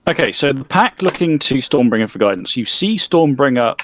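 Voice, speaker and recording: A man at a middle pitch runs a tabletop game, laying out the scene and telling players when to roll. Faint keyboard typing can be heard behind his voice. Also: fairly quick, a little hiss or hum. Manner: steady, explanatory, calls for rolls. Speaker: game master.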